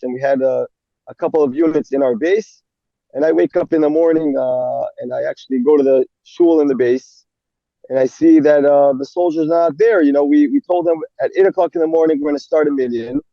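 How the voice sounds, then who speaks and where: A young man with a gravelly edge answers interview questions in halting English. He stops, restarts and fills gaps often. The recording sounds dull and dry. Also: on the high side, no echo. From Israel. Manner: halting, many fillers and restarts. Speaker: army rabbi. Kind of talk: interview guest.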